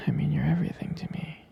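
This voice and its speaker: A man playing a devoted, jealous, protective boyfriend in ASMR roleplay, speaking in a breathy, husky whisper with a hesitant, intimate, tender delivery. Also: a little mellow, lightly acted. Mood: devoted, possessive.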